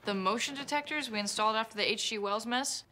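An actress playing a teasing, quick-talking young woman who is a tech whiz. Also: on the high side, very noisy, lightly acted. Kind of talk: TV drama acting.

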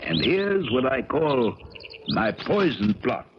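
Slow, theatrical eccentric older uncle. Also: male voice, mid-range voice, sing-song. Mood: courtly pride. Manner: theatrical, courtly, deliberate. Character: eccentric older uncle, courtly, morbid, controlling. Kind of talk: 1970s radio drama acting. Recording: dull, noisy, crackly 1970s radio recording.

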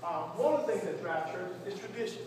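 A high-voiced man teaches like a preacher, repeating phrases for emphasis and prompting his group to respond. He is picked up by a distant mic in a church meeting room, with strong echo and clear background noise. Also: fast. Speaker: pastor leading a church workshop. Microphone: distant camera among the audience. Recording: echoey hall, distant mic, noisy room.

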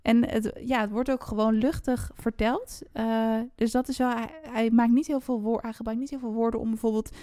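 Higher-pitched woman co-hosting a casual podcast. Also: fairly even intonation, moderate pace, hardly any pauses, dark tone, no room sound, studio quality. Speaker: podcast co-host. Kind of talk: casual book chat.

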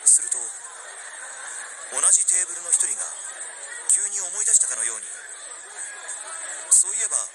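A man playing a wistful young man pining for an old love, narrating a radio drama in a hushed, introspective way. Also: high-pitched, very animated, normal speed, long pauses, a loud crowd, very noisy, pitched above the performer's own voice. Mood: wistful, reflective.

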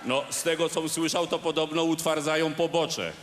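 Guy doing a dopey yes-man knight, high bright voice, roomy echo on an old radio tape. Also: little pitch movement, a little fast, natural voice. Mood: naive. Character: dim-witted eager male knight sidekick, agreeable. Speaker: radio cabaret actor. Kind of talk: satirical radio play acting. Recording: old radio recording in a roomy space with hiss.

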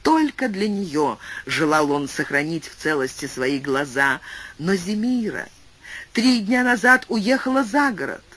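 A man reading a classic philosophical tale aloud as an audiobook narrator, with expressive, swooping delivery and shifting pace. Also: high voice, measured pace. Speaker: audiobook reader.

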